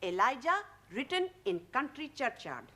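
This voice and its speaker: An earnest student reciting. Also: female voice, high voice, very animated, moderate pace, sharp tone, heavily acted. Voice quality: broken English, formal, hesitant. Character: earnest Indian woman student reciting poetry.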